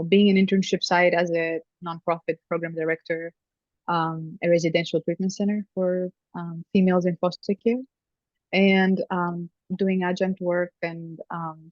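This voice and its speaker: A woman from Greece, a family therapy professor, chatting as a guest in an interview over a video call, talking easily with frequent ums and soft laughs. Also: on the low side, no echo. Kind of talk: conversational interview. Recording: dry, dull lo-fi sound.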